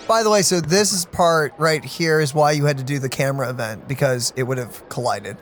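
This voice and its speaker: A woman's voice: low-pitched, brisk, faint music behind. A woman giving casual let's play commentary as a gaming host, joking and playfully exasperated.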